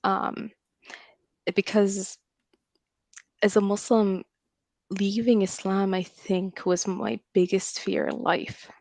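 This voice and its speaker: A woman with an Arabic accent calling into a livestream, talking haltingly with many pauses and small laughs. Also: medium pitch, level tone, creaky, darkish tone, no room sound. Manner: hesitant, with frequent laughs and ums. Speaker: caller. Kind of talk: casual call-in chat.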